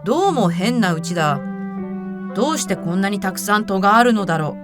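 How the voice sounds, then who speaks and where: A woman voicing pompous, gullible young rich gentlemen from the city in a storybook reading, with self-assured, old-fashioned phrasing. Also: medium pitch, lively intonation, a little fast, over music, natural voice. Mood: smug, pleased. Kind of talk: theatrical fairy-tale character reading.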